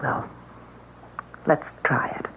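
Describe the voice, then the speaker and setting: A woman's voice: slow pace, close to an everyday voice. An actress playing a woman who guides a friend through a Ouija session, instructive and then tense, in a 1970s radio drama. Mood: guiding. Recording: tinny old radio broadcast.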